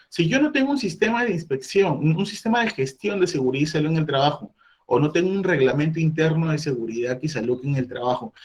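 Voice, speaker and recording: A middle-aged man giving a training talk on labor inspections, explaining step by step and voicing the questions an employer might ask. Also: on the high side. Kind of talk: online training presentation.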